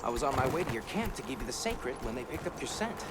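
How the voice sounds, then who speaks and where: A man's voice: mid-range voice, very animated, fast, heavy background noise, close to an everyday voice. A man voicing a friendly, proud young village guide in a video game, upbeat and welcoming. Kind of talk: video game cutscene voice acting.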